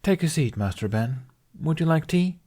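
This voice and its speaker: A man's voice: on the high side, lively intonation, moderate pace, warm tone, pitched above the performer's own voice. Man voicing a blunt, exasperated alien woman healer. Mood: brisk, firm. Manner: blunt, scolding, growling.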